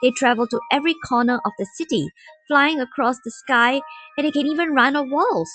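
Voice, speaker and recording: A woman's voice: sits high, a little fast, a bit bright, soft background music, pitched above the performer's own voice. A woman voicing an eager, wide-eyed seven-year-old boy in a storybook reading. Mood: eager, doubting.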